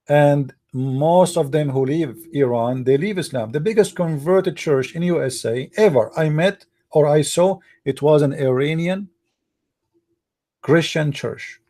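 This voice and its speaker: Heated Arabic-speaking man, soft and mellow. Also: on the high side. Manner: halting, searching for words, many fillers; heated, blunt opinions. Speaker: commentator. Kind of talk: casual monologue.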